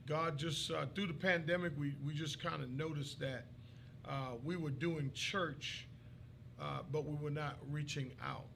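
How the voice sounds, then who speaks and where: A man from Trinidad and Tobago, a pastor, talking as a guest in a polite, hesitant way with many pauses and fillers. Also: fairly high pitch, fairly even intonation, creaky, fairly bright tone, slight background noise. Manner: polite, keeps saying yes sir, hesitant with many uhs. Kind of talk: interview guest.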